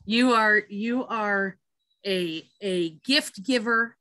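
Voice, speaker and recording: A woman's voice: medium pitch, takes it slowly, sharp tone. A woman hosting an interview, chatty and playful and gushing with praise for her guest. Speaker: interview host. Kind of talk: casual interview chat.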